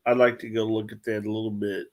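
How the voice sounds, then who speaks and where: A man's voice: on the low side, level tone, fast talker. A man talking casually in a group livestream chat.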